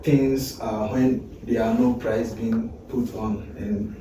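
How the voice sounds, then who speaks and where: A man's voice: mid-range voice, flat delivery, slow. A man hosting a casual interview chat, prompting his guest and finishing his sentences. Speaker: host asking questions. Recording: very echoey room, distant mic, faint noise.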